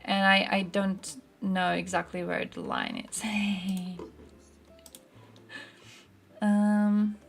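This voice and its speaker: Casting: female livestream host, gravelly fry in the voice, informal and sweary, off-the-cuff chat style. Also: mid-range voice, very slow, pauses often. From Croatia. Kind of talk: casual livestream chat, reacting to chat and posts.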